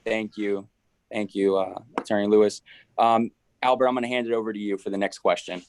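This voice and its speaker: A man moderating a panel discussion in a polite, hurried way. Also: on the low side, steady tone, clear, resonant tone, no room sound.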